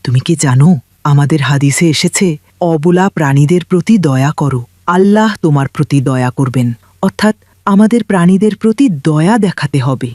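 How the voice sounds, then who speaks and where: A woman's voice: sits low, moderate pace, dark tone, no echo, clean studio sound, natural voice. A woman voicing a frank, earnest village child, a friend in a cartoon. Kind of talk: cartoon voice acting.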